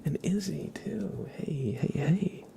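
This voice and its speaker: A man hosting a casual ASMR livestream, chatting in a breathy, gentle, unhurried whisper and softly repeating phrases. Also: a little high, animated, slight background noise. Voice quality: breathy whisper with creaky edges. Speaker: live streamer, ASMR host.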